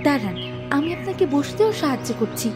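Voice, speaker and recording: A woman's voice: high-pitched, big pitch swings, moderate pace, over music. A woman voicing a kind, dutiful young village girl in a cartoon story, sweet and attentive. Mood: concerned.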